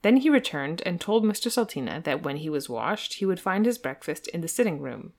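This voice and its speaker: A woman's voice: fairly low pitch, big pitch swings, a little fast, a little mellow. A woman co-hosting a podcast, moving between dramatic storybook narration and casual, amused chat. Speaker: podcast co-host and reader.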